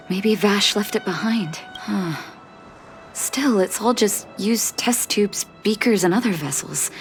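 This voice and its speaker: A woman voicing a gentle, resolute doctor and leader in a video game, soft, measured and thoughtful. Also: mid-range voice, close to an everyday voice.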